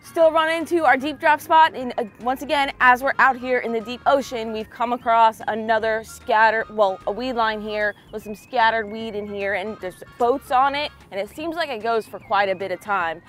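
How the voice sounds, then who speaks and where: A woman's voice: fairly high pitch, steady tone, normal speed, few pauses, fairly bright tone. A woman hosting a fishing vlog out on a boat at sea, giving casual commentary and chatting. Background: clear music.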